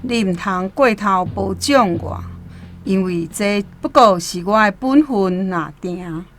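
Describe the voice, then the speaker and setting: An actress with a Taiwanese accent playing a dutiful, stern servant guide in a radio drama, speaking formally. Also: female voice, medium pitch, slow pace, faint music behind, lightly acted.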